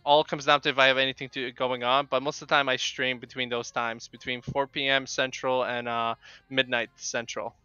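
Bright-voiced Polish man streaming a game. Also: medium pitch, flat delivery, clear, resonant tone, no room sound. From Poland. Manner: halting, repeats words. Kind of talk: casual live chat with viewers while gaming. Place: small home room with bookshelves. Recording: dull, lo-fi microphone sound.

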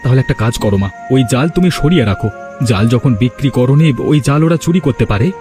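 A man's voice: medium pitch, animated, quick pace, lightly acted. A man voicing a devoted, protective young son in a fairy-tale cartoon, questioning eagerly and offering reassurance. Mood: reassuring. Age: young adult. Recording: music behind the voice.

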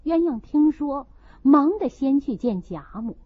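A woman's voice: high voice, expressive, measured pace, no echo, narrow, tinny audio. A woman narrating a classic novel as an audiobook, reading expressively from the text.

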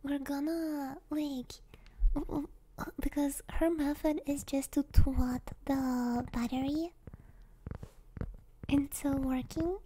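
A young woman, a native Russian speaker, chatting softly and hesitantly in a gentle, playful ASMR livestream style, often dropping into a whisper, close on the microphone. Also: sits high, fairly even intonation, slow, long pauses, poor audio. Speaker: ASMR streamer. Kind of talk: soft ASMR livestream chat. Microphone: close binaural microphone.

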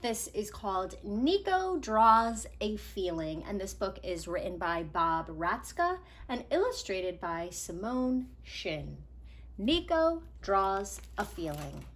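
A woman in her thirties reading a children's picture book aloud expressively, with deliberate dramatic emphasis. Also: mid-range voice, big pitch swings, a bit bright, a little hiss or hum. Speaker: read-aloud storyteller. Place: small living room at home, indoors.

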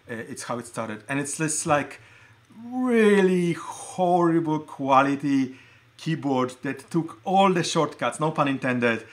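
A middle-aged man from Poland hosting a casual show-and-tell livestream, talking in a halting, conversational way and often interrupting himself. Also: mid-range voice, a bit bright. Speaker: livestream host showing collected keyboards. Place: indoor room at a desk.

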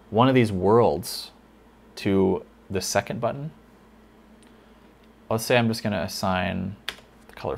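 A man teaching a lighting console in a casual tutorial, thinking aloud with fillers, a little hoarse. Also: on the low side. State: slightly hoarse, losing his voice. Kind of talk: casual screen-recorded tutorial.

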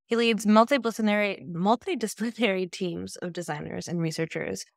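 A woman's voice: mid-range voice, steady tone, fairly quick, gravelly, no room sound, clean studio sound. A woman in her thirties hosting an online event, giving a semi-scripted introduction. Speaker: host introducing a speaker. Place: indoors, video call.

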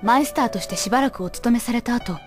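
A woman's voice: a little high, fast, close to an everyday voice. An actress playing a polite, cautious and easily flustered schoolgirl in an anime audio drama. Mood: explaining. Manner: hesitant, polite. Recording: loud music behind the voice.

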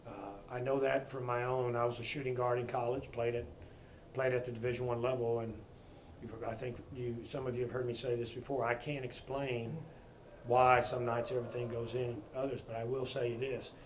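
A man's voice: mid-range voice, level tone, brisk, low-quality recording. A middle-aged man speaks as a basketball coach at a postgame press conference, seated before a fixed camera. He talks frankly and plainly in a mid-range voice, restarting and repeating words as he goes. A faint hiss sits under him. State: disappointed. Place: press conference room before a sponsor backdrop.

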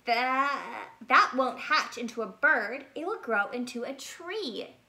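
A woman plays a calm mother bear in a storybook. She explains patiently and reassuringly, in a bright voice with an unhurried pace. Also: sits high, exaggerated voice.

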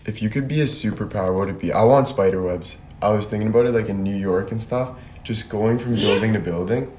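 Young guy on a phone live, kinda deep voice, chill slangy talk, bit of background noise. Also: quick pace, darkish tone. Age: young adult. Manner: laid-back, slangy. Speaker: livestream host. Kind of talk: casual livestream chat. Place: indoor room by a window. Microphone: phone at arm's length.

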